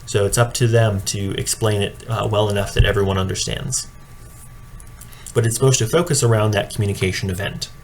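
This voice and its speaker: A man giving an instructional presentation like a coach, explaining in a measured, matter-of-fact way. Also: on the low side, fairly even intonation. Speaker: speech and debate coach training judges. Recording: clearly audible background noise.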